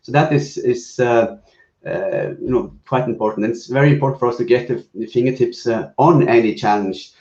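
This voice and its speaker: A man's voice: medium pitch, brisk, darkish tone. Hesitant man on echoey muffled call. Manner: hesitant, many fillers and restarts. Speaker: business guest speaker. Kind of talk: conversational panel answer. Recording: roomy muffled video call audio.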